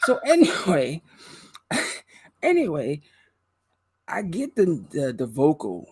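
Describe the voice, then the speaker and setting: A man's voice: a little high, slow, slightly rough, no room sound. A man, a guest musician and streamer, telling a story in casual chat and acting out other people's voices. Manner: animated, acts out other people's voices in quotes.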